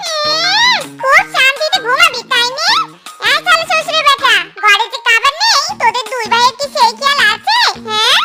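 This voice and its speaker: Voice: extremely high pitch, animated, moderate pace. A performer voicing a bossy, scheming, nagging wife of a fox thief in a comic cartoon, with dramatic scolding. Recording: children's cartoon music behind the voice.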